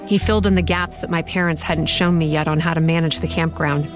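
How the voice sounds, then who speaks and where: A woman performs a sardonic, haunted young woman narrating her own horror story. Her voice is slightly low, confiding and wry. Music plays clearly behind her. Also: steady tone, a little fast, natural voice. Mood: brooding.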